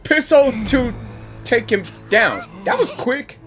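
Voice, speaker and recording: A woman's voice: sits high, huge pitch swings, slow. A woman giving live gaming reaction commentary, exclamatory and often repeating phrases. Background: faint game music.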